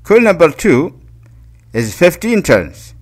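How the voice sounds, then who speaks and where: A man giving an informal hands-on tutorial, explaining step by step in a halting way and repeating details. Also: fairly high pitch, very animated, husky. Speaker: electronics hobbyist and presenter.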